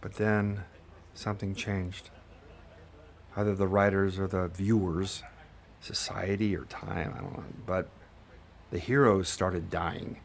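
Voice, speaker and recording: Weary, low-voiced reluctant hero. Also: male voice, level tone, slow pace, gravelly, long pauses, a little hiss or hum, full character voice. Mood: reflective, doubtful. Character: weary, reluctant male hero, doubtful and stubborn. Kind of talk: naturalistic audio drama dialogue.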